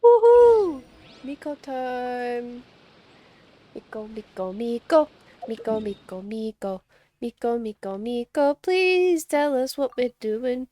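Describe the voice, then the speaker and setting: Playful sing-song woman streamer, mellow. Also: fairly high pitch, lively intonation, slow pace. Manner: silly, sing-song, jokey. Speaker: streamer reacting to a game. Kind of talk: casual playful live reactions. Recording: faint background noise.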